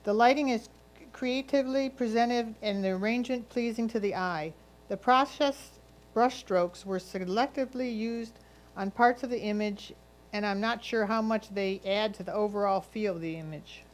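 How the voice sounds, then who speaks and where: A woman's voice: a little high, normal speed, rough recording. A woman reading a judge's written comments aloud as a critique.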